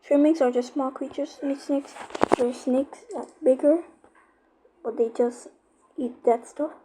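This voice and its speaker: High-pitched, halting young-sounding female explainer. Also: steady tone, mellow, slight background noise, rough recording. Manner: halting, searching for words. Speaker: YouTuber explaining horror lore. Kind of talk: casual, informal explainer.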